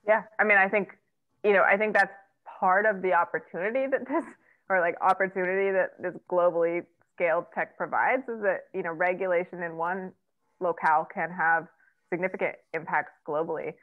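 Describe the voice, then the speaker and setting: A woman's voice: fairly low pitch, steady tone, brisk, no echo. A woman in her thirties answering questions over a video call from a room at home, talking with many fillers and restarts and gesturing as she goes. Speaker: keynote guest on tech ethics. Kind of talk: conversational Q&A answer. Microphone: earbud headset on a video call. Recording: dry, tinny video-call audio.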